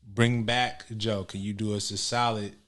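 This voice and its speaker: A man from Maryland co-hosting a casual podcast, talking in a laid-back way that often drops into low, quiet asides. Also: level tone, moderate pace. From Maryland, United States. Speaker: podcast co-host. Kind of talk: casual chat.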